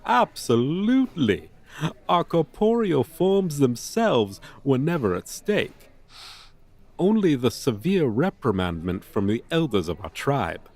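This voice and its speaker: A man's voice: mid-range voice, big pitch swings, takes it slowly, a little mellow, heavily acted. A man playing a haughty, formal dragon teacher in an audio roleplay, with pompous, wordy, old-fashioned phrasing. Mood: amused, reminiscing. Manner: pompous, verbose, archaic formal diction. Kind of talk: ASMR roleplay, character acting.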